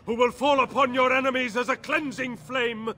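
A man's voice: very high-pitched, steady tone, moderate pace, pushed and bright. An actor playing a zealous, grandiose cult leader praying to his goddess in a fantasy video game. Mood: fervent praise. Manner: solemn, grandiose, declamatory.

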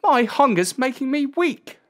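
A woman's voice: sits high, expressive, measured pace, pitched above the performer's own voice. A woman voicing a plucky young shepherd boy in a storybook, polite and earnest. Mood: weary.

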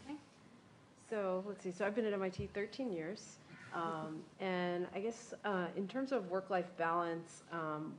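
A woman panelist speaking in a measured, thoughtful, soft-spoken way in a lecture room. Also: on the low side, slight background noise. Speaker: panelist, dean. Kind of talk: reflective panel remarks.

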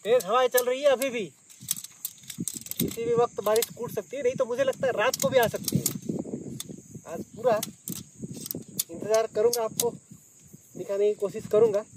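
A man's voice: very high voice, normal speed, long pauses. A man in his thirties talking casually to viewers while camping alone by a lake at night, explaining what he is doing as he works. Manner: chatty, explains as he works, addresses viewers as friends. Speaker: solo camping vlogger. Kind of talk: casual vlog narration to viewers. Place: outdoors by a lakeshore at night, thunderstorm. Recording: outdoor camera audio with wind and insect noise.

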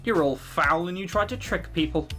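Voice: mid-range voice, moderate pace, bright, forceful tone, natural voice. A let's player plays a young traveller boy from a game, tired and on guard. He talks bluntly in a folksy, defiant way, with music behind him. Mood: wary, defiant.

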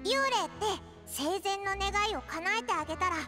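Very squeaky, excitable little fairy. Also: female voice, normal speed, loud music behind the voice. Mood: curious, eager. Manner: squeaky, chirpy, blunt. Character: tiny excitable childlike floating fairy companion. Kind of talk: Japanese anime game voice acting.

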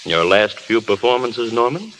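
An actor in a 1930s radio drama playing a tactful but frank film studio boss. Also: male voice, medium pitch, sing-song, moderate pace, a bit bright. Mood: gently blunt. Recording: noisy old 1930s radio broadcast.

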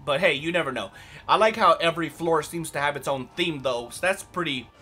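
Brief: young male let's-play host, hoarse and raspy, high and bright, chatty, faint game music behind. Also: a little fast. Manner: chatty, rambling, lots of fillers. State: hoarse from yelling. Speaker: gaming channel host. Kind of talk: casual let's play commentary.

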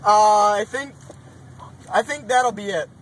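A young man talking casually and haltingly, stalling as he orders like a customer from inside a car. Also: high-pitched, slight background noise, rough recording. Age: young adult. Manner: hesitant, stalling. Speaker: prankster customer at a drive-thru. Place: inside a car at a drive-thru. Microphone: handheld camera inside the car.

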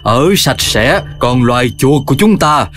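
A man's voice: medium pitch, lively intonation, a little slow, a bit bright. A man voicing an aggrieved, bossy rat king in a children's cartoon, declaiming pompously. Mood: indignant, commanding.

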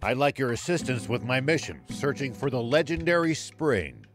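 An actor playing a stern, principled old sheriff in a video game cutscene, speaking formally and with resolve. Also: male voice, mid-range voice, sing-song, normal speed, over music, lightly acted. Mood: earnest, explaining.

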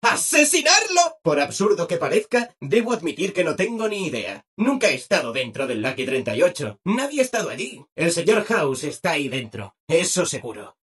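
An actor playing an over-eager, cheerful robot assistant in a video game, with a Castilian Spanish accent and chirpy, mock-polite delivery. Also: male voice, a little high, big pitch swings, normal speed, few pauses, a bit bright, husky, heavily acted. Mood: excited. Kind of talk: scripted video game character acting.

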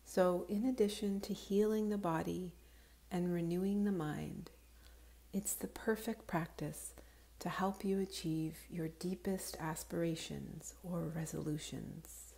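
A woman leads a guided meditation in a soft, fairly low voice with a mellow tone. She speaks very slowly and soothingly, with breathy edges to her phrases, giving calm, unhurried instructions. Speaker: yoga nidra guide.

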